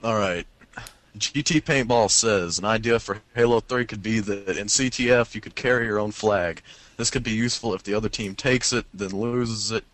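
A man's voice: fairly low pitch, normal speed, fairly bright tone, no room sound. A man co-hosting a casual gaming show, reading out listener messages and joking around. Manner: jokey, often laughing through his words. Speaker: podcast co-host.

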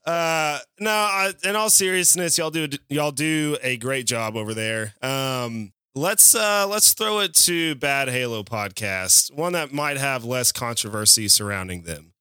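A man's voice: mid-range voice, expressive, unhurried, few pauses, bright, forceful tone, clear, resonant tone, no room sound, clean studio sound. A man co-hosting a podcast, teasing guests in an easygoing, casual way. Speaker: podcast co-host.

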